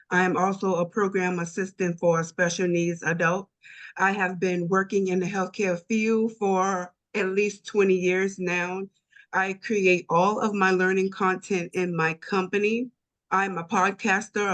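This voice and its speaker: A woman introducing herself as a guest author and educator, in deliberate, declarative statements. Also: lowish voice, fairly bright tone. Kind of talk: self-introduction on a panel.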